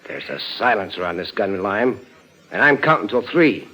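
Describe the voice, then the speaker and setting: A man's voice: on the low side, lively intonation, moderate pace, bright, forceful tone. An actor playing a blunt, threatening gangster in old radio crime drama, talking in tough-guy slang. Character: menacing jealous gangster husband, tough and blunt. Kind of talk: 1950s radio crime acting. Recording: dull old radio recording.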